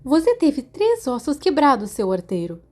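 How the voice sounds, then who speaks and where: Storybook school nurse, voiced by a woman: stern, caring, Brazilian accent, raised pitch, scolds protectively. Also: wildly swooping pitch, normal speed, warm tone. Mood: scolding, exasperated.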